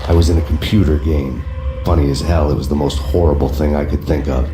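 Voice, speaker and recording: Very deep voice, weary noir cop narrator, deadpan, echoey, music behind. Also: male voice, a little fast. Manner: flat, deadpan and world-weary. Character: brooding hardboiled male cop, grim and weary. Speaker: narrator, game protagonist. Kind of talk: hardboiled noir voice-over narration. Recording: roomy echo with loud music score.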